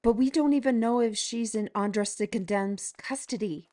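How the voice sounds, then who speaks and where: A sharp-tongued young woman steward, voiced by a woman speaks briskly and bluntly in a mid-range voice. She is impatient to get to the point. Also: a little high, lightly acted.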